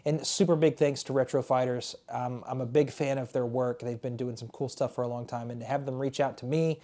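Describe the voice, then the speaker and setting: A man in his thirties chatting casually and enthusiastically in a collector's vlog, his voice worn and starting to go hoarse. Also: mid-range voice, fairly even intonation, a little fast, dark tone. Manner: chatty, enthusiastic, repeats words. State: losing his voice. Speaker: retro game collector and YouTuber. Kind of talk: casual show-and-tell vlog.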